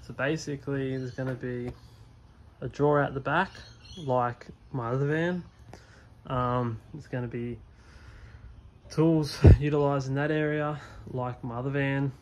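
A man's voice: medium pitch, fairly even intonation, unhurried, slight background noise, poor audio. A young man showing a van fit-out in a casual vlog, rambling with lots of ums while filming on a phone outdoors. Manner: rambling, many ums and fillers. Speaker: HVAC technician vlogger. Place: outdoors beside a van in a driveway.

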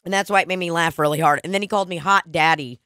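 A woman's voice: on the low side, fairly even intonation, rapid-fire, fairly bright tone, no room sound. A woman of twenty-eight co-hosting a podcast, chatting casually and telling rambling stories while she eats, into a studio mic. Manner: rambling, laid-back storytelling, eating while talking. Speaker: podcast co-host. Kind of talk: casual chat. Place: small studio with red curtains. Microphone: studio broadcast mic with headphones.

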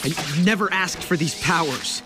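An actor playing a teenage boy superhero in an animated series, a weary and self-pitying character who speaks in a bitter, lamenting way, with music underneath. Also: fairly high pitch, moderate pace, lightly acted. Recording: cartoon clip with clear music underneath.

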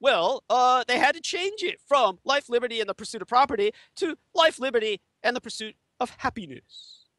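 A man from Taiwan whose first language is Chinese, an interview guest giving an emphatic, lecturing monologue that swings from loud high outbursts to low murmurs. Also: lively intonation, brisk, no room sound. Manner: emphatic lecturing monologue, voice rising and falling sharply. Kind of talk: conspiracy talk on an audio interview.

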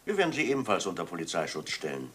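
An actor playing a calm police inspector in a crime radio play, questioning insistently and deliberately. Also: male voice, low voice, far from the mic, exaggerated voice. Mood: grave, insistent. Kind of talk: dramatic radio play acting.

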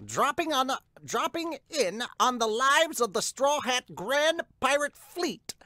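A man's voice: very high voice, big pitch swings, slow, bright, forceful tone, no echo, voice pushed up, full character voice. A man voicing a dramatic manga announcer, calling out titles with theatrical weight.

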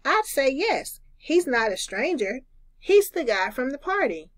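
A woman voicing an eager, naive, excitable little boy in a children's storybook. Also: sits high, unhurried, voice pushed up. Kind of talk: children's storybook read-aloud.

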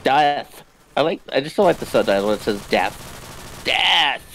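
A man's voice: mid-range voice, animated, unhurried, creaky, noisy background. A man chatting casually as he plays a co-op video game, with playful, jokey asides. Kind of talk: casual co-op gameplay chat. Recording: game gunfire under the voice. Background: video game gunfire and ambience.

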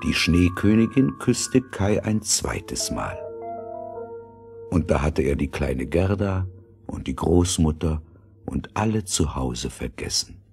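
A man narrating a scripted fairy tale in a dramatic, deliberate storytelling manner. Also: very deep voice, very animated, gravelly, a little mellow, husky, faint music behind.

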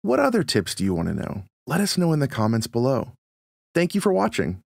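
Man narrating a tutorial, lively intonation. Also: medium pitch, brisk, dry recording, clean studio sound. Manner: clear step-by-step instruction. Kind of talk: scripted how-to narration.

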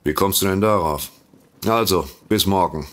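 An actor playing a sardonic, sceptical detective in an audio drama, dry and sarcastic. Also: male voice, low voice, normal speed, a bit bright. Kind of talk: German audio drama acting.

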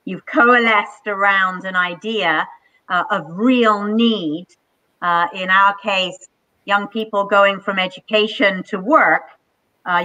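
Older woman, panel host, slow measured talk, bright voice, dull lo-fi video call sound. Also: medium pitch. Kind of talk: live online panel discussion. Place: home office. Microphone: webcam on a video call.

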